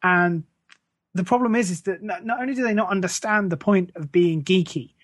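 A man's voice: sits high, a little fast, dry recording, studio quality. An English man hosting a podcast in a casual comic rant, sweary, scornful and sarcastic. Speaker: podcast host.

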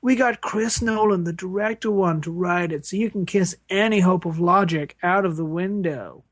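A man's voice: sits high, moderate pace, no echo, clean studio sound, voice pushed up. A man voicing a defensive, dismissive dude in a comic audio sketch.

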